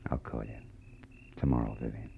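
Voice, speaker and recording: A man's voice: rumbling low, flat delivery, normal speed, creaky, slight background noise, heavily acted. A man playing a wry, hard-boiled private detective with an American accent, telling his story in 1940s radio drama style. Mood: tender, matter-of-fact. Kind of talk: 1940s radio drama acting, first-person narration. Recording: muffled vintage radio broadcast with music.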